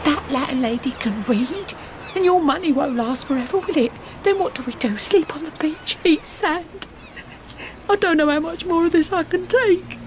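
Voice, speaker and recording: A frightened young Englishwoman on the run , played by an actress, with a clearly high voice. Her manner is fretful and questioning, in audio drama style, with faint noise behind her. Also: normal speed, darkish tone, lightly acted, rough recording.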